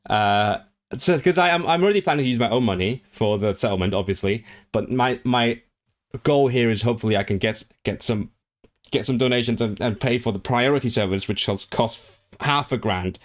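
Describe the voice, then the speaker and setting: A man chatting casually on his own livestream, rambling with frequent restarts and fillers. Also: medium pitch. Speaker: language streamer.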